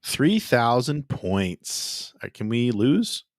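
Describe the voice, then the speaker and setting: A man's voice: medium pitch, sing-song, takes it slowly, no echo, studio quality. A man chatting casually as a podcast panelist and quiz contestant. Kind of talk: casual chat.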